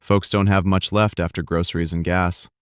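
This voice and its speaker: A man voicing a solemn, slow-spoken pub regular in an audiobook reading. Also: deep voice, level tone, clean tone, no room sound, clean studio sound, natural voice. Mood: sober. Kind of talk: audiobook character voice.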